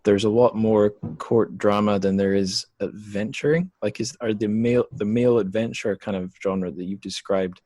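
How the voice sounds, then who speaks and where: Low-voiced man hosting a podcast interview. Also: steady tone, normal speed, hardly any pauses, mellow, no echo.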